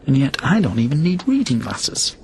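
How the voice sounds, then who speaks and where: An actor playing a wistful, pleading quarantined astronaut who is centuries old and immortal, in science fiction radio drama style. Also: male voice, medium pitch, wildly swooping pitch, moderate pace. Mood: wry, resigned. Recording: clearly noisy background ambience.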